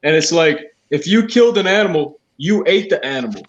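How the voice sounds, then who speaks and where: A man's voice: on the high side, lively intonation, a little fast. A man on a debate panel speaking casually and telling stories about the rules he was raised with. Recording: muffled lo-fi call audio.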